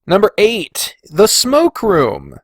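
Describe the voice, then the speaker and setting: Higher-pitched man chatting casually, close dry sound. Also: wildly swooping pitch, slow pace, husky, dry recording. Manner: informal, freely swearing, thinking aloud. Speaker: podcast host. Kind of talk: casual solo ranking commentary.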